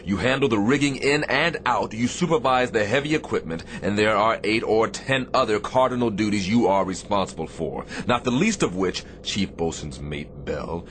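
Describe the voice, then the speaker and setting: An actor playing a stern, demanding but caring Navy captain in a radio drama, with a commanding, sardonic delivery. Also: male voice, on the low side, fairly bright tone. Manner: commanding, sardonic, deliberate phrasing. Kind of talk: radio theatre acting. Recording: clear background noise, shipboard ambience.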